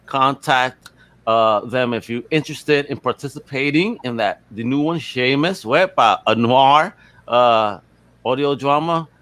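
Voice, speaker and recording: A man's voice: medium pitch, unhurried, fairly bright tone, no room sound. A man hosting a casual podcast interview in an enthusiastic, slangy way. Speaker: podcast host.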